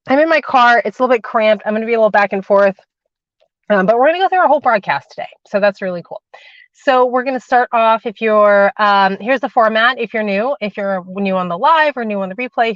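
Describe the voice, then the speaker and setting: A woman's voice: medium pitch, no room sound. A woman hosting a spiritual livestream from inside a parked car on her phone, chatting casually, calling viewers darling and sweetheart, then leading a guided meditation while she gets over a cold with a cough drop in her mouth. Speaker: spiritual channeler and host. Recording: dull lo-fi phone audio.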